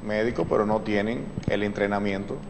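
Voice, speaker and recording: A man, a surgeon on a TV talk show, explaining patiently with many fillers and the odd clearing of his throat. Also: lowish voice, fairly even intonation, brisk, in a large hall, a little hiss or hum, rough recording. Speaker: surgeon guest. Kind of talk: explanatory TV interview.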